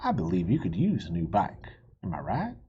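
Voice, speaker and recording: Gruff, admiring storybook cowboy played by a male reader: folksy, gravelly, lively pitch, lo-fi recording. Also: normal speed, full character voice. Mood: friendly.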